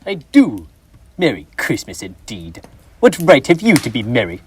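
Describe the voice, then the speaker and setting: A young man acting as a miserly, bitter old man in a school stage play, gruff and contemptuous. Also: mid-range voice, huge pitch swings, normal speed, heavily acted. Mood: scornful. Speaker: stage actor.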